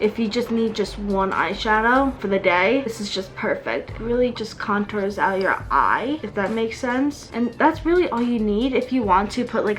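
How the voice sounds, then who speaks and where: A woman's voice: mid-range voice, fairly bright tone. A young woman giving a casual makeup tutorial, chatty and upbeat as she talks through each step, recorded outdoors. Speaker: beauty vlogger. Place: outdoors with wind and traffic.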